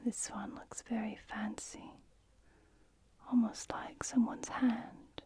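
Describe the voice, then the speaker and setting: A woman speaking in a soft, breathy whisper, gently role-playing an attentive shop assistant for ASMR. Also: on the high side, level tone. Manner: gentle, unhurried, attentive to the customer.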